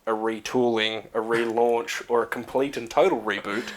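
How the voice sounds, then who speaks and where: A man's voice: medium pitch, steady tone, moderate pace. A man with an Australian accent, co-hosting a casual movie chat, rambling with many fillers and restarts. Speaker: co-host.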